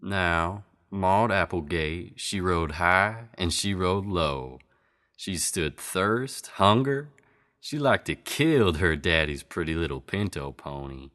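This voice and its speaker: Deep-voiced folksy storyteller played by a man. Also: lively intonation, takes it slowly, fairly bright tone, full character voice. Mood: folksy, emphatic. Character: folksy Old West storyteller, unhurried male townsman. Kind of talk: scripted narration of a Western folk tale.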